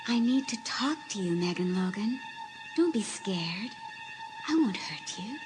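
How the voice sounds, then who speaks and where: A woman's voice: mid-range voice, animated, over music, natural voice. Whispery, pleading ghost of a teenage girl, acted by a woman. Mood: gentle, eerie. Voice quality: breathy, whispery. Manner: slow, hushed, earnest. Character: ghost of a sixteen-year-old girl in a mirror, pleading, wistful. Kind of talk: dramatised audiobook acting.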